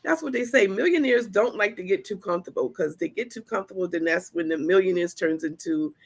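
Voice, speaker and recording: A woman hosts a podcast in a casual, chatty way. Her voice sounds a little congested from the flu, and she talks with animated energy. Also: mid-range voice, a little fast, husky. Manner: chatty, animated storytelling with laughs. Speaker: podcast host.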